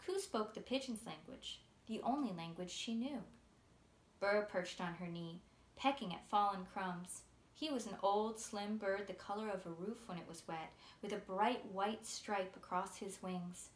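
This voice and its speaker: A woman in her thirties reading a story aloud as its author, in a measured, clearly enunciated way. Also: mid-range voice. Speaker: author reading from own book. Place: small furnished room indoors. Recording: roomy sound with faint noise.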